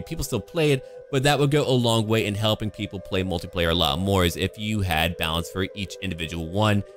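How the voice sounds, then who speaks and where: A man with a fairly low voice talks through a game in a casual, conversational style. He sounds keen as he speculates, and music plays faintly behind him. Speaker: gaming video creator, commentator. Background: background music.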